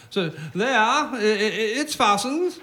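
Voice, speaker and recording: A man's voice: high voice, animated, takes it slowly, noisy background. A man acting in a live radio play as a timid, henpecked husband and father who stammers and dithers, flustered. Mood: awkward. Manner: heavily stammering, flustered, hesitant. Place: stage before a live audience.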